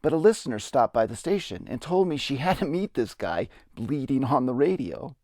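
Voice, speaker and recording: A man's voice: mid-range voice, big pitch swings, normal speed, a little mellow, no echo, studio quality. A man giving a reflective, earnest dramatic reading of his own memoir. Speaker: memoirist narrator. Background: music.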